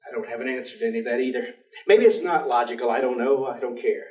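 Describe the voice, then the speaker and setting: A man's voice: mid-range voice, quick pace, darkish tone, lightly acted. A man acting in a staged play reading as a despairing, stubborn professor, speaking in formal, precise, clipped phrases. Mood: resigned. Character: despairing, weary intellectual male professor, curt and stubborn. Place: theatre stage. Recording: distant, echoey theatre stage recording.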